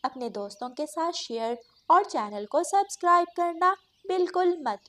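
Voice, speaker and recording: A female storyteller with a high, lively voice. She narrates a scripted children's cartoon tale, with plenty of melodic movement. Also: normal speed.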